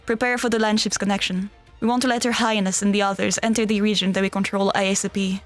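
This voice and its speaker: A woman's voice: medium pitch, flat delivery, fast talker, lightly acted. A woman acting a composed, authoritative field commander in a game fan dub, formal and businesslike. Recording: background music under the voice.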